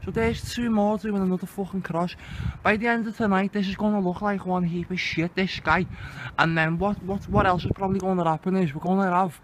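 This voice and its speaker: A man's voice: high voice, steady tone, brisk, a bit bright. A man with a Liverpool accent giving indignant, sweary, exclamatory commentary in a casual vlog he films outdoors. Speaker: video channel host. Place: outdoors under open sky. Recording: very noisy outdoor amateur recording.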